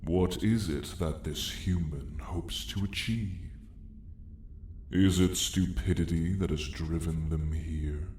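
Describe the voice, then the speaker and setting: Deep, very slow ancient dragon. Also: male voice, darkish tone. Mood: mocking, intrigued. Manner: slow, menacing, imperious, drawn-out phrasing. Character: ancient arrogant male dragon woken in his lair. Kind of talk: ASMR roleplay story acting. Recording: echoing cavernous sound, faint noise.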